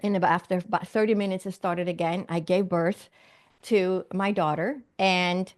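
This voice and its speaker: A woman's voice: fairly low pitch, fairly even intonation, normal speed. A middle-aged woman from Sweden, a physician and medium, telling her story earnestly in an interview with light laughs.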